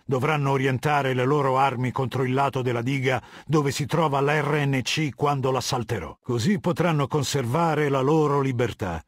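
A man acting as a middle-aged warlord who rules a legion in a video game. His voice is husky and mid-pitched, recorded dry and close. He speaks with cold, condescending command and an edge of menace. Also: moderate pace, hardly any pauses, no room sound, lightly acted. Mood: measured, imperious. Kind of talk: video game dialogue, Italian dub.

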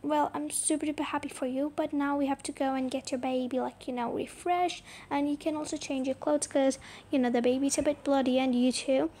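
A young woman voicing a brisk, kindly female hospital doctor in an amateur roleplay. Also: sits high, steady tone, warm tone, lightly acted. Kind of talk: amateur voiced toy-app roleplay.

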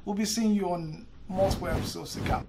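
Gravelly Nigerian-born man, podcast host. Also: sits high, brisk. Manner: relaxed, rambling with restarts. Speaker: podcast host, medical resident. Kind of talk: casual chat. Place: small office indoors. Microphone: desk microphone.

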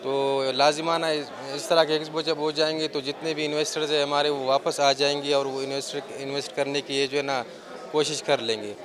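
A man in his thirties with a Quetta accent answers in an unscripted news interview. His pitch is a little high, and crowd chatter in a busy hall is clear behind him. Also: flat delivery, brisk. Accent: Pakistani, Quetta. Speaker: property company owner. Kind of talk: spontaneous on-camera news interview. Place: indoor expo hall.

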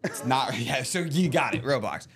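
A man's voice: mid-range voice, lively intonation, moderate pace, fairly bright tone, faint music behind. Joking man in his twenties, headset. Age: 20s. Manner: playful, teasing, laughs often. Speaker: co-host of a livestream. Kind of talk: casual joking banter. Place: studio with a gaming chair.

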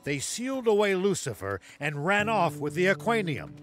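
An actor playing a stern, steady old veteran soldier in a video game, gruff and measured. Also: male voice, high-pitched, faint music behind. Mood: urgent. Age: older. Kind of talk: video game cutscene acting.

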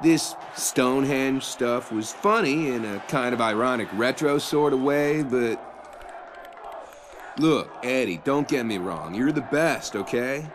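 An American actor playing a whiny, trend-chasing young band member in a video game, casual, hedging and condescending. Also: male voice, mid-range voice, unhurried, noisy background, lightly acted. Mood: hesitant, wheedling. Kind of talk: animated video game acting.

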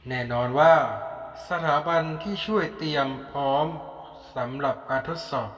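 A man's voice: a little high, a little slow, a bit bright. A man reading scripted narration for a fantasy story. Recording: echoey, long reverb.

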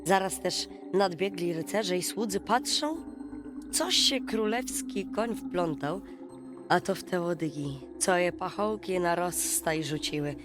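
A woman storyteller reading a bedtime fairy tale in an expressive, dramatic, folksy way. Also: on the low side, unhurried. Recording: music behind the voice. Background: music.

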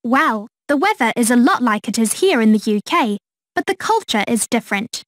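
A woman voicing a young woman back from holiday, explaining customs in a bright way. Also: on the high side, sing-song, normal speed, dry recording, studio quality. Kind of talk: scripted textbook dialogue.

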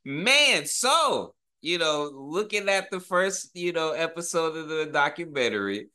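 A man's voice: a little high, very animated, unhurried, bright, forceful tone, smooth. A man in his thirties giving casual, slangy reaction commentary, enthusiastic and full of awe, as a video host. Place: small home room.

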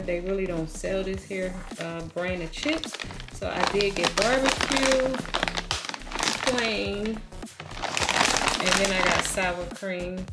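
A woman chatting casually through a grocery haul, speaking to viewers as y'all. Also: fairly low pitch. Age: adult, has a daughter. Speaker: vlogger.

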